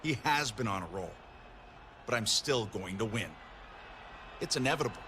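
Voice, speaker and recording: A man's voice: fairly low pitch, animated, normal speed, bright, forceful tone. A man playing a smug, condescending villain champion wrestler in a video game cutscene. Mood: smug, dismissive. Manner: condescending, self-assured. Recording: clear noise behind the voice.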